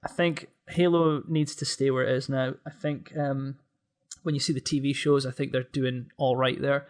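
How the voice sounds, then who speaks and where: A man with a medium-pitched voice co-hosts a podcast. He speaks in a casual, conversational style, as in an informal discussion among friends. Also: level tone, moderate pace. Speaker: podcast co-host.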